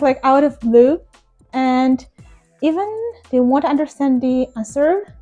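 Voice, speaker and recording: A woman's voice: high voice, mellow. A young non-native English-speaking woman, an ESL teacher, talking earnestly and encouragingly straight to her viewers. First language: not English. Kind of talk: talking-head teaching vlog.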